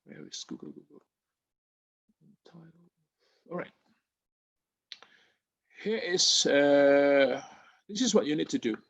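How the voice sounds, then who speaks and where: A man whose first language is Japanese walks students through a tutorial on a video call, his voice a little gravelly and recorded dry and close. He talks hesitantly, with many fillers and restarts along the way. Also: a little high, animated, takes it slowly, long pauses, no room sound, lo-fi sound. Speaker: webinar presenter. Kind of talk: screen-share tutorial. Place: indoor room at home. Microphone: laptop mic on video call.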